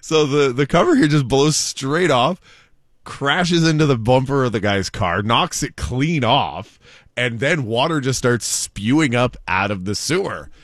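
A man's voice: medium pitch, expressive, unhurried, dry recording, clean studio sound. A man co-hosting a radio show, chatting casually through news stories and laughing often. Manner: chatty, laughs often, reads quotes aloud.

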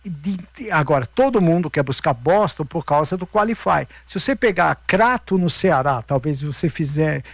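High-pitched, gravelly Brazilian man telling stories. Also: dry recording. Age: middle-aged. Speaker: interview guest, veteran marathon runner. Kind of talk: casual anecdotal interview talk.

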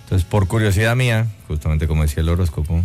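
A man's voice: low voice, fast, a little mellow, soft background music. A man from Guatemala, a singer chatting in an interview, thoughtful and full of "eh" fillers and tag questions. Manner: reflective, many "eh" fillers and tag "¿no?". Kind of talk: casual interview chat.

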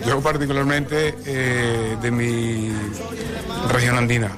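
A man's voice: mid-range voice, level tone, takes it slowly, over music. A Venezuelan man speaking in a radio street interview, earnest and proud. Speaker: Venezuelan community representative.

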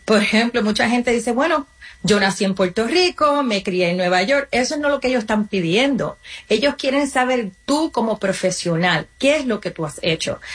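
A woman with a fairly high voice speaks as an interview guest. She gives practical explanations, leaning on examples and talking straight to the listener. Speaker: guest, community nonprofit representative. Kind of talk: interview answers, explanatory advice.

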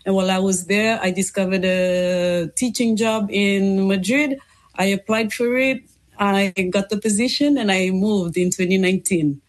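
A woman's voice: medium pitch, moderate pace. A young woman from Fiji, an English teacher, answering interview questions thoughtfully with frequent ums and restarts.

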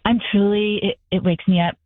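Female caller, fast casual talk, thin phone-line sound, very dry. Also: mid-range voice, little pitch movement, dry recording. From United States. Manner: talks fast, laughs lightly. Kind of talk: casual call-in chat.

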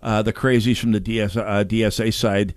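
A man's voice: fairly low pitch, little pitch movement, fairly quick, no room sound, clean studio sound. A man hosting political talk radio, speaking casually in a rambling way with jokey asides. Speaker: radio talk show host.

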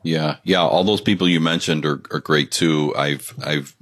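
A man hosting a podcast interview, talking casually with halting restarts and fillers. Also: extremely low pitch, fairly even intonation, a bit bright, dry recording. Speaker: podcast host.

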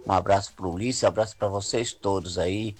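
A man's voice: low-pitched, fairly even intonation, normal speed. A man with a São Paulo Brazilian accent, speaking as an effusive listener in a casual fan message, repeating phrases for emphasis. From greater São Paulo, Brazil. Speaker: listener sending a greeting.

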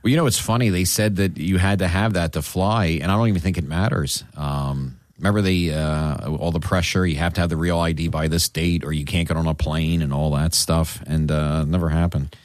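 A man's voice: sits low, steady tone, a little fast, few pauses, dry recording, clean studio sound. A man, a doctor co-hosting a casual radio chat, joking and self-mocking. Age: 50s.

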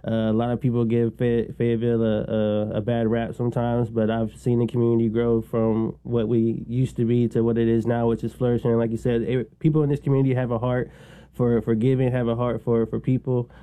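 An American man gives conversational answers as an interview guest. His voice is smooth and very mellow, with little rise and fall in pitch. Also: completely flat delivery, a little fast. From North Carolina, United States. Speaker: charity development director, interview guest.

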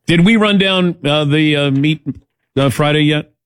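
A man's voice: on the high side, unhurried, dry recording, clean studio sound. A man hosting a sports talk radio show in a casual, wry, opinionated manner.

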